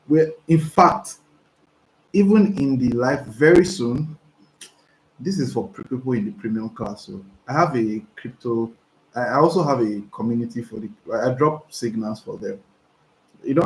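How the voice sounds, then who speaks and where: A young Nigerian man with a slightly hoarse voice, coaching viewers live and reading their messages aloud, excitable and prone to restarts. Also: medium pitch. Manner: excitable, repeats himself, stumbling restarts. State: hoarse from talking. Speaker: online business coach. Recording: roomy echo, faint background noise.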